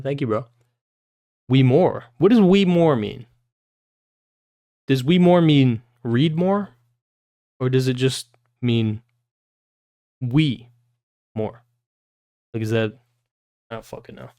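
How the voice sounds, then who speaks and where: A male livestreamer with a medium-pitched voice reads literary prose aloud. He slips between narration and loose, informal chat, with puzzled asides and casual swearing. The sound is dry, with very little room in it. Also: a little mellow, dry recording.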